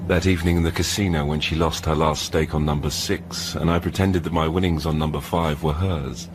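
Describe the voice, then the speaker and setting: Smug young charmer, really low voice, radio drama thoughts, engine hum behind. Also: male voice, steady tone, fairly quick. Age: young adult. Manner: smug, musing. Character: self-satisfied, suave young male admirer. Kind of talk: inner monologue in radio drama. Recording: clear engine noise behind.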